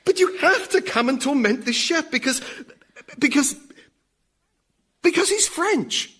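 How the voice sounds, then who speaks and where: A man playing an eager, obsequious demon servant in a radio sitcom, excitable and fawning. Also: very high voice, measured pace, slight background noise, heavily acted. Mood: pleading.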